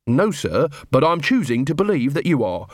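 A man acting an earnest, cheerful young watch corporal in an audiobook, polite, brisk and by the book. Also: on the high side, big pitch swings, dry recording, clean studio sound. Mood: calm, reasonable.

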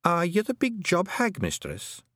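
A man's voice: high-pitched, huge pitch swings, unhurried, fairly bright tone, no room sound, studio quality, voice pushed up, full character voice. A man voicing a tiny, proud and determined female pixie with a Scottish accent in a storybook reading. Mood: formal.

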